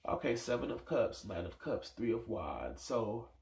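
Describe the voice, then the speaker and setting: A man's voice: on the low side, sing-song, a little slow, gravelly, darkish tone, slight background noise. A man with a stuffed-up nose giving a casual tarot reading, musing aloud and rambling with many fillers. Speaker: tarot reader. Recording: echoey room sound.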